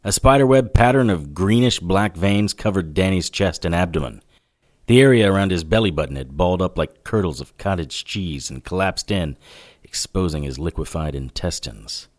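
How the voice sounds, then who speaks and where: An actor playing a sardonic 1940s detective who narrates a noir audio drama and trades wisecracks. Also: male voice, low voice, lively intonation, moderate pace. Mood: grim, weary. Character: wry, hard-boiled 1940s male occult detective narrator.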